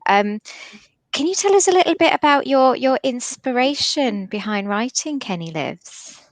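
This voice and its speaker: A woman's voice: high voice, expressive, moderate pace, no room sound. Woman interviewer, close dry sound. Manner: encouraging, affirming. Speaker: host interviewer from a Pride organisation. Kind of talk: conversational interview.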